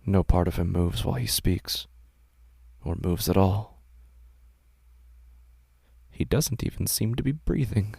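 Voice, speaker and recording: A man with a very deep, heavily gravelly voice narrates a horror story in a hushed, slow delivery that often sinks into a whisper. His tone is husky, and the close recording is completely dry. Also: expressive, dry recording. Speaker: narrator and host.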